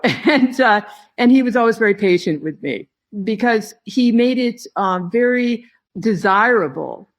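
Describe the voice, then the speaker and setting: A woman giving a reflective interview as a guest, speaking in a halting, thoughtful way with phrases broken by pauses. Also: mid-range voice. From not originally from Hawaii, long resident there. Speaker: podcast guest, tea grower and company head.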